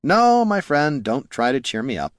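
Man putting on a high, theatrical, self-pitying bard. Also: very animated, moderate pace, dry recording, clean studio sound, lightly acted. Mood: aggrieved lament. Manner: theatrical, plaintive.

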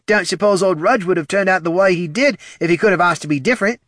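A man's voice: high voice, fast talker, a bit bright, dry recording, clean studio sound, voice pushed up. A man voicing a chatty, unbothered male ghoul with a folksy, blithe manner in a fantasy audiobook. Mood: amused.

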